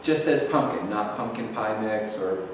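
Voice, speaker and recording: A man's voice: fairly low pitch, a little slow, background noise. A young man, a librarian, casually walking through a recipe step by step in a kitchen, with lots of fillers. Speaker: teen librarian. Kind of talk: cooking demonstration. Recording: echoey kitchen, far mic, clattering dishes.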